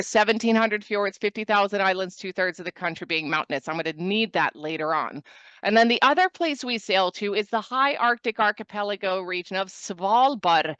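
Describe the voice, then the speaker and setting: A woman's voice: medium pitch, a bit bright, clear, resonant tone, no echo. A woman from Norway giving an enthusiastic, informal promotional travel presentation over a video call, with joking asides.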